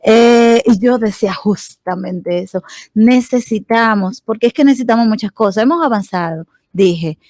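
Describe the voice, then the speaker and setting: A woman's voice: on the high side, moderate pace, a little mellow, no room sound. Dominican woman guest, dry close sound. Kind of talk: radio interview.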